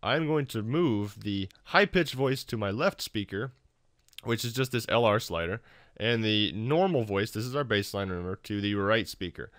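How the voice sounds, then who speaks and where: A man with a fairly low voice hosts a software tutorial. He talks informally, with a wry, self-deprecating manner. The sound is dry and close, with little room around it. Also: expressive, moderate pace, dry recording. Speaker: tutorial host. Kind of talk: casual software tutorial narration.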